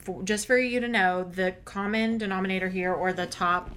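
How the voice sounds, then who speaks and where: A woman co-hosts a chatty podcast at a mid pitch, loose and talkative, often hedging what she says. Faint ambient noise sits behind her voice. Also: fairly even intonation, moderate pace, fairly bright tone. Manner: chatty, hedging with "I don't know". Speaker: podcast co-host. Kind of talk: casual chat.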